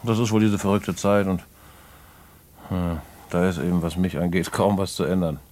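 Radio drama: male actor as jailed petty crook, low-pitched voice, colloquial, rueful, streetwise and fatalistic. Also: steady tone, normal speed, darkish tone, natural voice. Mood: wistful, regretful. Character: streetwise male small-time crook in jail, wistful, fatalistic. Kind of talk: naturalistic German radio play acting.

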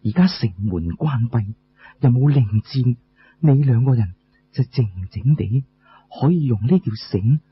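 A man's voice: mid-range voice, expressive, a little slow, slight background noise, voice pushed down, lightly acted. A man voicing a shrewd, plaintive wife and mother in Cantonese storytelling, with coaxing, sighing delivery. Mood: urgent, secretive. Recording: muffled lo-fi recording.

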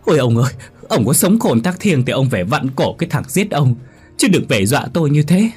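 A stout older village woman who sells pork wails shrilly and sobs out coarse threats, as a man puts her on. Her voice leaps far above a low man's register and swings wildly, loud and husky, in a spoken folk tale. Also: sing-song, brisk, soft background music, full character voice. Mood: frantic.

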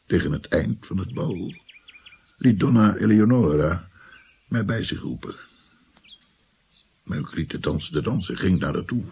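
A haunted old doctor looking back in a radio play. The voice is very low and badly husked, full of gravel. The telling is reflective and halting, swinging dramatically. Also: male voice, animated, darkish tone, heavily acted. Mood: wistful. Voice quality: gravelly, husky.

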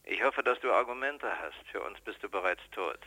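An actor playing a menacing, scornful gangster in a radio crime drama, cold, clipped and threatening. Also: male voice, fairly low pitch, animated, normal speed, pushed and bright, husky, exaggerated voice, poor audio. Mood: cold menace.

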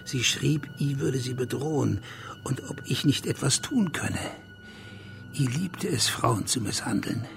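An actor playing a bitter, proud last heir of a declining family in a radio crime drama, scornful and insinuating, sometimes dropping to a breathy murmur. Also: male voice, medium pitch, wildly swooping pitch, a little slow, background noise, heavily acted. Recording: music behind the voice.

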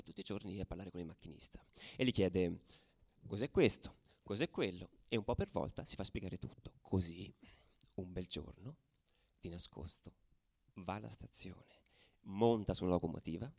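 An actor playing a hardened, streetwise football ultra, delivering an intense, colloquial radio drama monologue in a gravelly voice. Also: male voice, deep voice, a little mellow, full character voice. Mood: wry, confiding. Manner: colloquial, brooding, swinging between murmur and agitated outburst. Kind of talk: theatrical radio monologue, narrating.

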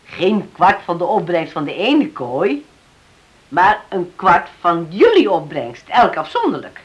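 A woman's voice: on the low side, huge pitch swings, a little slow. A woman acting in a Dutch radio drama as a shrewd, self-assured farm owner with a businesslike manner. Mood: firm. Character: shrewd, firm widowed farm owner driving a bargain.

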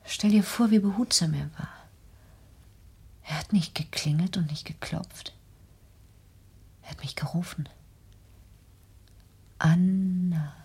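Husky, hushed, defiant daughter. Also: female voice, fairly low pitch, slow, pauses often, full character voice. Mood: insistent. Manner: intense, insistent, accusing. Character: sheltered, defiant 38-year-old daughter confined at home. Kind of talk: German radio drama acting.

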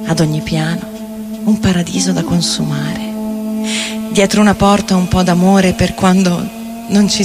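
A woman giving a theatrical recitation of poetry in deliberate, short phrases. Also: lowish voice. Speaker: theatre reader and vocal performer. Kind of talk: poetic theatrical recitation over music. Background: experimental guitar and electronic loops.

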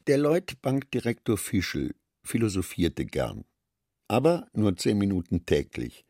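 A man reading scripted literary narration for a radio play in a measured, articulate, dryly ironic manner. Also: fairly low pitch, wildly swooping pitch, dark tone, dry recording, clean studio sound.

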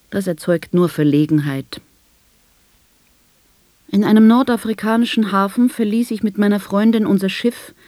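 An actress playing a blind woman who tells of her life in an articulate, measured, sardonic radio drama monologue. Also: lowish voice, a little mellow, no room sound, natural voice. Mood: sober, observant. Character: blind woman recounting her life with bitter, ironic humour.

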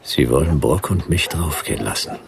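A man's voice: extremely low pitch, lively intonation, moderate pace, vocal fry, a crowd in the background, full character voice. A man playing a hard-boiled, impatient detective in a radio drama, curt and sarcastic. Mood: tense, bitter.

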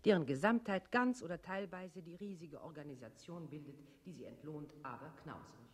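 An actress in an experimental radio play, voicing a formal, declarative figure who issues looping office instructions. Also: female voice, deep voice, normal speed, breathy edge. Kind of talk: experimental German radio play, scripted recitation.

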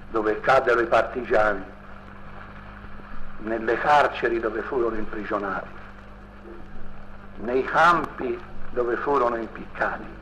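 Slow, solemn male orator, noisy recording. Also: medium pitch, a bit bright. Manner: solemn, declamatory. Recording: noisy archival speech recording.

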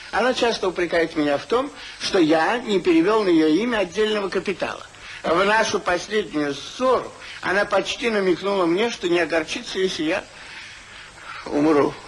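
An actor playing an anxious, trusting elderly gentleman in an emphatic, theatrical 1950s radio manner. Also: high voice, normal speed. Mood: solemn, wounded. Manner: theatrical, emphatic, repeats himself. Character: fussy elderly husband, wronged, anxious, trusting English gentleman. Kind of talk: 1950s stage-style radio acting. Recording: noisy 1950s radio play recording.

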